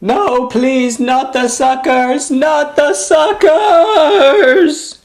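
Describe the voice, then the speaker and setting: A man voicing a whiny, melodramatic, easily frightened character in an amateur sci-fi parody, in a high screechy falsetto with drawn-out words. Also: full character voice. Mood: terrified. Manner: drawn-out, overwrought wailing.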